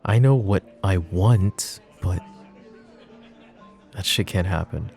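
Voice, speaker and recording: A man playing a flirty, possessive mafia boss in a roleplay ASMR drama, smooth-talking, teasing and protective. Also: sits low, takes it slowly, a little mellow, soft background music, exaggerated voice. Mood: earnest, frustrated. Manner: smooth-talking, teasing, pet names, sighs.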